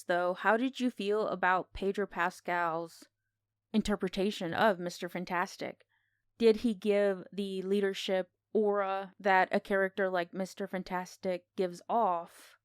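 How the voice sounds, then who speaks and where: A woman's voice: medium pitch, clear, resonant tone, no room sound, clean studio sound. A woman co-hosting a podcast, casually discussing film in a conversational, opinionated way. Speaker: podcast co-host.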